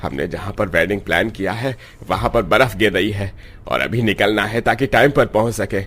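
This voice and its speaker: A man's voice: on the low side, moderate pace, fairly bright tone. A man voicing an exasperated middle-aged father of a bride in a cartoon story, grumbling and resigned.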